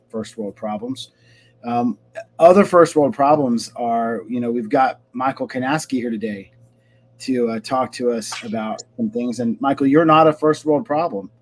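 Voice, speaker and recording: A man hosts a live-streamed interview from home in a mid-pitched, ordinary voice. He talks in a chatty, upbeat way, with the odd "um" as he sets up his questions. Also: normal speed. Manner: upbeat, chatty, some fillers. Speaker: host. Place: home, lawn crew outside.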